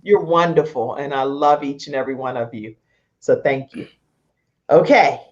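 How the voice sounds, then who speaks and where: A woman hosting a talk show in an animated, conversational style, effusive and emphatic, repeating phrases for emphasis. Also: a bass voice, moderate pace, dull audio.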